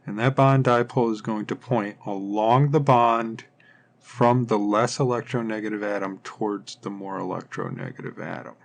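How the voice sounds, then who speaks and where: Guy teaching chem, kinda low voice w lots of vocal fry, thinks out loud step by step, informal lecture. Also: moderate pace. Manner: methodical, thinking aloud while working through a problem. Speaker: chemistry teacher.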